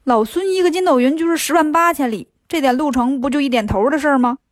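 Woman doing a cocky, quick-talking monkey king. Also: high-pitched, no room sound, clean studio sound, lightly acted. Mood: brisk. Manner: brisk, teasing, rapid.

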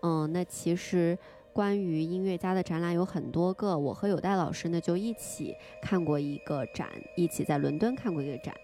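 With music clearly playing behind her, a woman with a very low voice chats casually as a podcast co-host. Her delivery is conversational and openly enthusiastic. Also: normal speed, warm tone.

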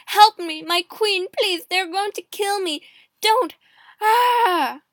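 A woman's voice: extremely high pitch, takes it slowly, fairly bright tone, no room sound, studio quality, pitched above the performer's own voice, exaggerated voice. A woman voicing a terrified man in an audiobook story, screaming and pleading for help. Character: terrified man begging his queen for help.

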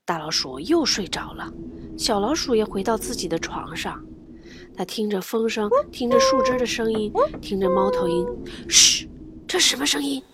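Animated woman telling a children's story. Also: a little high, moderate pace. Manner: playful, dramatic, often dropping to whispers. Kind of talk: expressive picture-book narration.

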